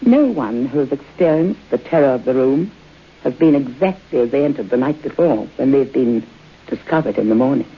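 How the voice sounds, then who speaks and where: Imperious old lady, husky, dull radio sound. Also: very deep voice, expressive, a little fast, exaggerated voice. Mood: coolly formal. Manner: formal, commanding, theatrical. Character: imperious old aristocratic lady of a haunted country house. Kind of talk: old-time radio horror drama acting.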